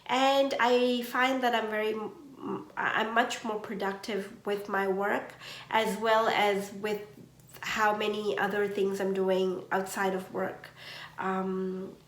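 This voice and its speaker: A woman hosting a knitting podcast from home, chatting slowly with many ums, her voice a little congested from allergies. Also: medium pitch, level tone, echoey room, poor audio. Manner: slow, gentle, with many ums and uhs. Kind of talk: casual chat.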